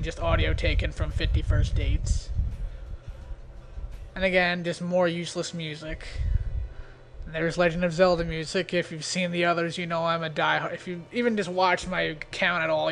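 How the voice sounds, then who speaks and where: A man's voice: a little high, vocal fry, a bit bright. A man giving casual, off-the-cuff video commentary in a hesitant, rambling, self-deprecating way. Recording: noisy amateur home recording.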